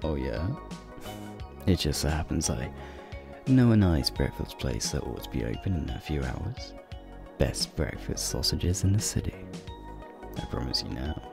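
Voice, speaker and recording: A man playing a flirty, teasing werewolf guide in an ASMR roleplay, talking softly and playfully to the listener in a gravelly, breathy voice. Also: very animated, unhurried, pauses often, music in the background, heavily acted. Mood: flirty, warm. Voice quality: deep, gravelly, breathy low voice. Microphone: close microphone.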